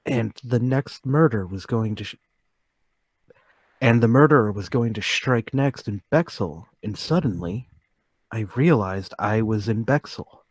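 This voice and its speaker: A man's voice: lowish voice, expressive, a little slow, husky, no room sound. An actor playing a meek, troubled travelling salesman, hesitant and deferential, in a table read of a period murder mystery. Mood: haunted, confessing.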